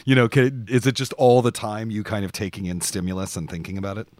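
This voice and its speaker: A man's voice: on the low side, fast talker, a little mellow, no echo. A man hosting a casual conversational interview, asking chatty questions with playful asides. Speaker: podcast host interviewer.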